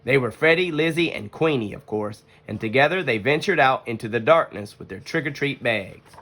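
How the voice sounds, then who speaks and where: A storybook reader giving expressive scripted narration for children. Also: medium pitch.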